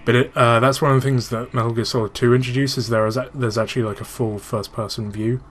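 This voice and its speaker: A man's voice: medium pitch, flat delivery, quick pace, slight background noise. A man giving casual, informal gaming commentary as a let's play host, swearing freely and explaining technical details.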